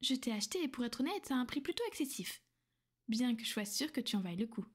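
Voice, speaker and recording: Woman playing a soft-spoken vampire noblewoman. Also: a little high, expressive, fast talker, breathy edge. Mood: calm, matter-of-fact. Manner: soft, soothing, often whispered. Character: gentle, possessive female vampire noblewoman. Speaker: ASMR roleplay performer. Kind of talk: ASMR roleplay.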